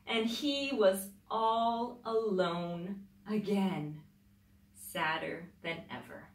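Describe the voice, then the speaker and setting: A woman's voice: mid-range voice, very slow. A middle-aged woman reading a picture book aloud to children in a dramatic, emphatic way, drawing out words. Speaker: children's storytime host, teacher. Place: small indoor library room with tiled floor. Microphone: camera a few metres away. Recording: roomy echo, distant microphone.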